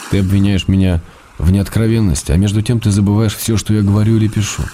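Radio play husband, played by an actor: deep, dark timbre, weary, reproachful yet affectionate letter reading. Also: male voice, flat delivery, fast talker, slight background noise, close to an everyday voice. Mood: exasperated. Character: weary, exasperated, loving writer husband answering by letter.

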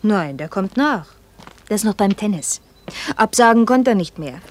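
A woman's voice: medium pitch, animated, moderate pace, slight background noise, natural voice. An actress playing a guarded, laconic young woman in a naturalistic radio play, terse and offhand, a little cheeky. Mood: offhand, evasive.

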